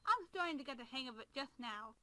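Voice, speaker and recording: A woman voicing a rapid, chirpy cartoon critter. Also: high-pitched, expressive, sharp tone, low-quality recording.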